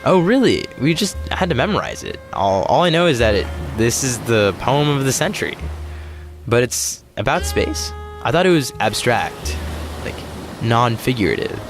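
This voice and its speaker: A man's voice: mid-range voice, sing-song, normal speed. An actor playing an earnest, literal-minded male astronaut in an audio sci-fi drama, hesitant and full of puzzled questions. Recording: music behind the voice.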